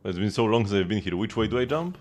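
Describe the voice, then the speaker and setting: A man's voice: fairly low pitch, lively intonation, fast, a little mellow. A man giving casual, offhand live commentary as a game streamer, thinking aloud as he plays and chats with viewers. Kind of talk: casual gaming livestream commentary, chatting with viewers. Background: music.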